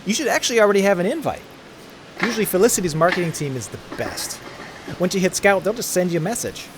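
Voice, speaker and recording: Very animated, friendly spaceship mechanic played by a man. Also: sits high, quick pace, background noise. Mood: casual, helpful. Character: friendly, excitable male starship mechanic. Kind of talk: audio drama acting.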